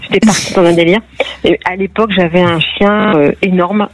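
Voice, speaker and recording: A woman's voice: on the low side, steady tone, moderate pace, dry recording. A middle-aged woman from northern France telling her story on a radio phone-in, acting out the dialogue as she goes. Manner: chatty, tells story with quoted dialogue. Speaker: caller. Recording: muffled phone-in line on radio.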